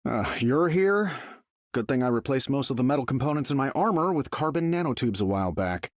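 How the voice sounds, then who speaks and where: A man's voice: mid-range voice, lively intonation, no echo, close to an everyday voice. A man plays a glib inventor hero in a game. His mid-range voice is cocky and flippant, recorded close and dry. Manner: flippant, quick. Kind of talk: video game character voice lines.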